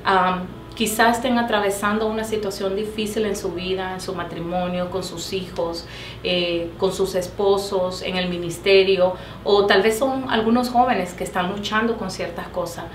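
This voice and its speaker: A woman's voice: medium pitch, steady tone, normal speed, far from the mic. Woman host with echoey faraway sound. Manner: warm pastoral phrasing with fillers. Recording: echoey room, background noise.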